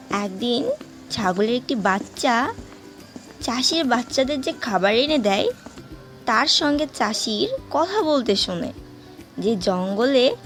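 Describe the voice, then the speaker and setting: Female storybook narrator, fairly high voice, very unhurried delivery, music behind.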